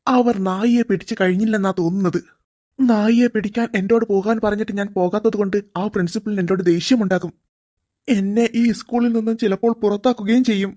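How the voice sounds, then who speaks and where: A woman's voice: mid-range voice, mellow, no room sound, clean studio sound, lightly acted. A woman voicing a self-important male music teacher in a cartoon, muttering plans to himself.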